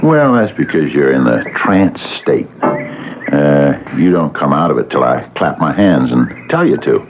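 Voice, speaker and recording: An actor playing a soft-spoken scheming husband in an old-time radio drama, coaxing hesitantly like a hypnotist. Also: male voice, very deep voice, sing-song, unhurried, exaggerated voice. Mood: ingratiating. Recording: lo-fi vintage radio, organ-like music behind.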